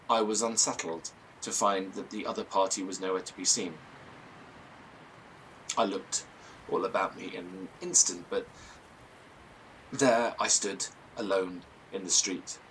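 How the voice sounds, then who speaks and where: Pompous, florid gentleman storyteller. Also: on the low side, a little hiss or hum, rough recording. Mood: self-important. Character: pompous, excitable Victorian-style gentleman storyteller. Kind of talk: dramatic first-person storytelling in audio drama.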